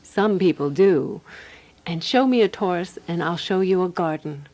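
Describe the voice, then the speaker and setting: An actress playing a calm, practical woman detective in a radio drama, telling her case in a composed, deliberate way. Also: fairly low pitch, lightly acted, poor audio. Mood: explaining, confident. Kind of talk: radio drama dialogue and first-person narration.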